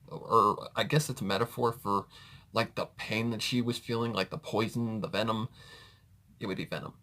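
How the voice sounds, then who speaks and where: Male reaction host, lowish pitch with a forceful bright tone, loose and rambling casual commentary. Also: vocal fry. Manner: rambling, self-correcting.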